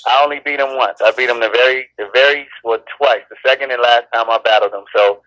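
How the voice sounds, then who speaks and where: A man's voice: mid-range voice, fast, pushed and bright, no room sound, low-quality recording. A man who raps, talking casually in an interview, rambling and restarting his sentences. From born in Chicago, raised in Los Angeles. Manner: laid-back, rambling with many restarts. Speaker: rapper, interview guest.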